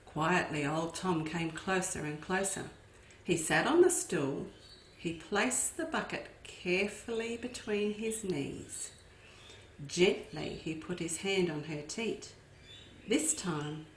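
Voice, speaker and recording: Woman educator, unhurried storytime reading for kids, lively asides, roomy echo. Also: on the low side, a little hiss or hum. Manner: expressive, with asides to young listeners. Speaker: children's educator. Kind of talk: storytime read-aloud. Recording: echoey room.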